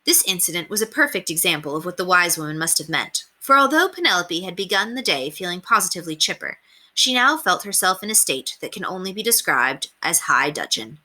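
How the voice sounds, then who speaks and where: Bright, animated woman reading a storybook. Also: lowish voice, a little fast. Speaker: read-aloud narrator. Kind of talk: expressive children's storybook narration.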